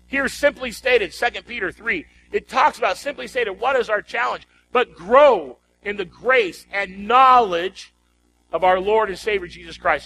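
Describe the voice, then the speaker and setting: A man's voice: high voice, pushed and bright, slight background noise, rough recording. A man preaching a sermon as a pastor, emphatic and exhorting, repeating phrases and putting rhetorical questions to his congregation.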